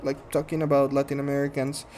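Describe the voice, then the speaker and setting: Man, 30s, Guatemalan Spanish accent, mid-pitched, soft-spoken, hesitant, many ums, casual gaming chat, faint background music. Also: monotone, quick pace, rough recording. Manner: shy, soft-spoken, earnest. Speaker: gaming channel host. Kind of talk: casual self-introduction while playing a game.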